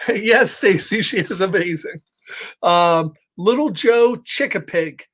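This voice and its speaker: A man's voice: sits high, slow pace, fairly bright tone. A man hosting children's storytime, chatting playfully and speaking directly to young listeners as he reads. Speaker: children's storytime host and reader. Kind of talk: casual livestream chat and children's story reading.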